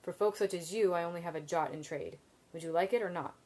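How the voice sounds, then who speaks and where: A woman's voice: fairly low pitch, fast, darkish tone, close to an everyday voice. A woman reading the lines of a sarcastic, teasing young male student.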